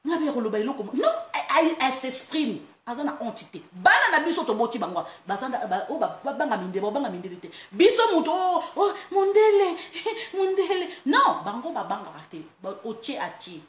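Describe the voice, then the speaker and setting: A woman from France hosting a casual online monologue, animated and quick to laugh, breaking into playful chants. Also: high voice. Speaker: online talk host. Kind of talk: casual monologue, mixing Lingala and French. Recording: roomy echo, faint background noise.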